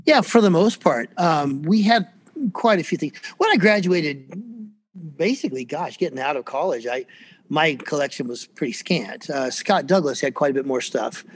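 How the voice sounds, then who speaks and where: A man with a squeaky voice, a freelance percussionist chatting casually and rambling in an interview. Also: expressive, moderate pace. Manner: rambling, self-deprecating, chuckles often.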